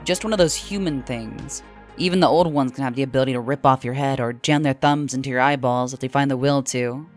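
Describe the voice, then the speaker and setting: Woman voicing a low, blunt, bossy furred alien. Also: fairly quick, soft background music. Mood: dry, blunt. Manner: flat, brusque delivery. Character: blunt, bossy brown-furred female alien with dry humour. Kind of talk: audio fiction character acting.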